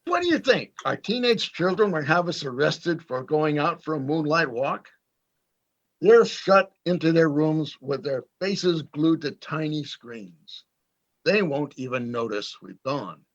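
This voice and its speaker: Radio play role, male actor: playful, restless dad, slow and creaky, slightly dull recording. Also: fairly high pitch, lively intonation. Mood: playful coaxing. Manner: coaxing, playful. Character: teasing, restless middle-aged husband and father. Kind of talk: amateur radio play acting.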